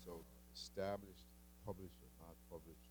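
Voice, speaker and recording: A man leading a poetry workshop, introducing a colleague and himself in a halting way with many fillers. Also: low voice, fairly even intonation, over music. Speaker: workshop leader.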